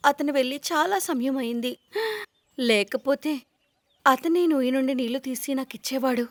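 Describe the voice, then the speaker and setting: A woman's voice: sits high, moderate pace, no room sound, close to an everyday voice. A woman voicing a weak, thirsty pregnant wife pleading for help in a cartoon story.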